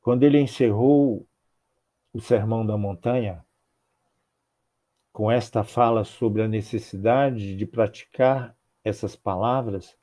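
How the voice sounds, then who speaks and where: Older man, Brazilian accent, mellow voice, measured rhythmic sermon, video call with earphone mic. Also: fairly low pitch. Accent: Brazilian Portuguese. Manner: measured, rhythmic phrasing with repeated clauses. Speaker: spiritist lecturer. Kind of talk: religious talk. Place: home office with bookshelves.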